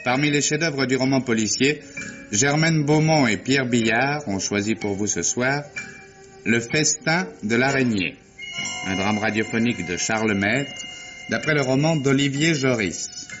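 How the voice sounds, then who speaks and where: A man's voice: mid-range voice, measured pace. A man reading a scripted introduction as a vintage radio drama announcer. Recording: dull vintage French radio broadcast. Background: loud theme music.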